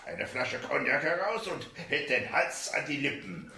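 A man acting a jovial, boastful military officer, hearty and animated, in a German radio drama with roomy sound. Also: mid-range voice, unhurried, heavily acted. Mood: hearty, amused. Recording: roomy, echoing studio sound.

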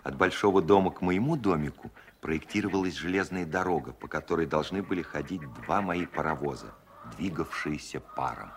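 A man acting as a grown composer narrating his childhood memories in a radio play, measured and reflective. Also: a bass voice, animated, a little hiss or hum.

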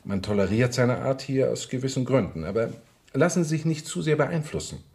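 An actor playing a brisk senior police inspector in a radio crime drama, authoritative and collegial with an ironic edge. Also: male voice, mid-range voice, animated, vocal fry, a little mellow. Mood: confidential, firm. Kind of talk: 1970s German radio crime drama acting.

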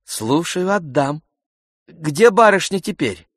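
A lively young housemaid , as a male narrator acts her, speaking in a raised pitch. She is chirpy and deferential, in the manner of an audiobook character. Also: slow pace, no room sound, studio quality. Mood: obliging.

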